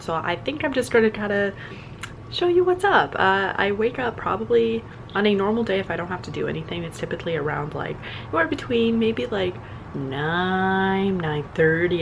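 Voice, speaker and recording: Congested young woman with a gravelly low voice. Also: noisy background. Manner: chatty, rambling, self-deprecating. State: congested, a little nasal. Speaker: vlogger. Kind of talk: casual vlog chat. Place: at home in an apartment.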